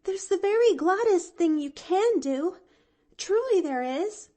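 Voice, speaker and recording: A woman's voice: lively intonation, unhurried. A woman voicing an eager, stammering little girl. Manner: stammering, eager, high and bright. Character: eager earnest little girl, breathless and pleading.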